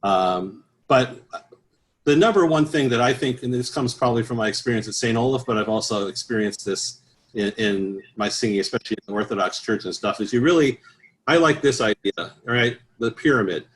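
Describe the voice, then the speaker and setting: A man with a mid-pitched voice talks as an interview guest. He explains his craft conversationally, in a halting way that often circles back over a phrase. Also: rough recording. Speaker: interview guest, professional bass singer and choir director.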